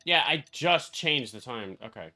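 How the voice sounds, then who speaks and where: A woman's voice: very deep voice, animated, unhurried, pushed and bright. A woman streaming a game, giving casual, profane reaction commentary and muttering to herself. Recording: game music behind.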